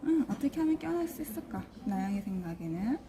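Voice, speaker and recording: A woman's voice: mid-range voice, very animated, fast talker, noisy background. A woman casually questioning a child about books, coaxing and reassuring her. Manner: friendly, coaxing, informal.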